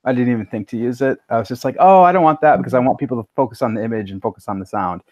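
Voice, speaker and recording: A man's voice: mid-range voice, rapid-fire, a little mellow, no room sound. A man talking casually as a podcast panelist, conversational and a little self-deprecating.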